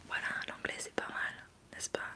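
A young woman, whispering casually into a close desk mic during an ASMR livestream, rambling and answering chat. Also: moderate pace. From France. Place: small bedroom studio indoors. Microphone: large desk mic up close.

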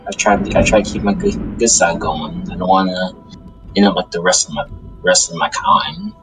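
A man's voice: deep voice, moderate pace, poor audio. A man playing an insecure, earnest good demon in an improvised game roleplay, hesitant and often repeating himself. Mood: worried, earnest. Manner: hesitant, stammering, repeats phrases. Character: insecure, earnest good demon shunning his evil kind. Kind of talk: improvised Minecraft anime roleplay. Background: music.

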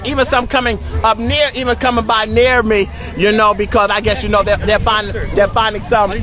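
A middle-aged man with a hoarse voice, excitedly reporting from a park event and repeating his exclamations. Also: high voice. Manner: animated, exclaims and repeats himself. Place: outdoor park field at a crowded picnic. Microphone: handheld camera held close. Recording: outdoor crowd with music playing. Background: crowd and background music.

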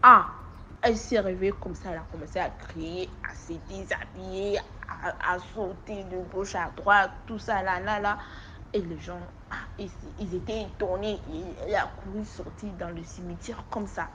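A woman from Togo with a West African accent, speaking French as an additional language and giving an informal testimony in an animated storytelling way. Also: medium pitch, moderate pace, slight background noise, low-quality recording. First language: not French. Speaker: testimony giver. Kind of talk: informal spoken personal testimony.

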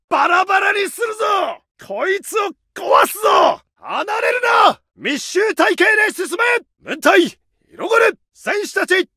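An actor playing a Japanese soldier and tank crewman, shouting clipped military commands and combat callouts in a game. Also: very high voice, creaky, full character voice.

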